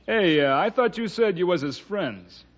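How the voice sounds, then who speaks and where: Wary, grudging workman, highish voice. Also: lively intonation. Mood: defensive. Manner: folksy ungrammatical speech, halting, wary. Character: grudging, defensive working-class male builder. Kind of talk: old-time radio western acting. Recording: dull vintage radio recording.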